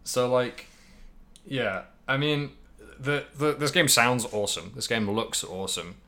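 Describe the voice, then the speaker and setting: A man's voice: mid-range voice, a little slow, sharp tone. A man hosting a podcast, casually discussing video games in a rambling way, hedging and thinking aloud as he goes. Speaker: podcast host.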